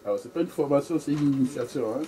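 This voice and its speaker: A man's voice: mid-range voice, lively intonation, warm tone. Distant man leading a group session. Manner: measured, repeats phrases for emphasis. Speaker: networking session facilitator. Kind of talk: informal presentation to a group. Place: meeting room in a bookshop. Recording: distant mic, faint room noise.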